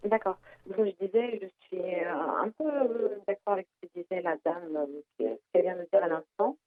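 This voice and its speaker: A woman calling into a radio show, hesitant and halting, heard over a poor phone line. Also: fairly low pitch, a crowd in the background. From France. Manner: hesitant, many fillers and restarts. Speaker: caller.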